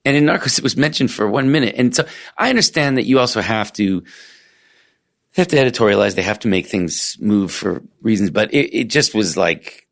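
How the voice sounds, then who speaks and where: Podcast host guy, lowish voice, gets animated, lots of um and like. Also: a little fast, creaky. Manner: animated storyteller, lots of fillers. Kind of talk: casual conversation.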